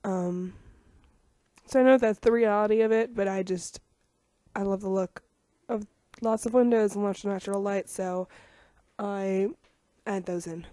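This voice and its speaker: A young woman with a rough voice from getting over a cold, chatting casually over a game video with lots of ums and self-deprecating asides. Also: medium pitch, fairly even intonation, a little slow, warm tone, no echo. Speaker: YouTuber narrating a game speed build.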